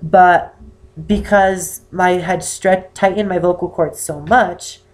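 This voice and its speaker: An eighteen-year-old man with an unusually high-pitched voice and damaged vocal cords, talking casually to camera and rambling with many ums. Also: fairly even intonation, slow. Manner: rambling, with many "um"s and self-corrections. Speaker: vlogger. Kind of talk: casual personal talk to camera. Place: indoor room with poor lighting.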